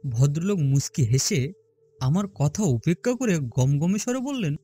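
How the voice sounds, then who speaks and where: A man narrating a horror story in the first person, expressive and theatrical in his delivery. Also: on the high side, moderate pace. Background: prominent music.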